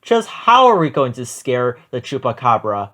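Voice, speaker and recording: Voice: mid-range voice, very animated, normal speed, a bit bright. A storybook reader voicing a cautious goat who worries. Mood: worried.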